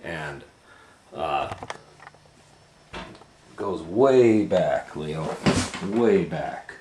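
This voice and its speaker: A man hosts storytime in a very low voice. He tells the tale slowly, with theatrical, folksy, drawn-out phrasing and little asides. The room is echoey. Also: animated, darkish tone. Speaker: storytime host and reader. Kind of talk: children's storybook read-aloud with asides. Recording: roomy sound with clear background noise.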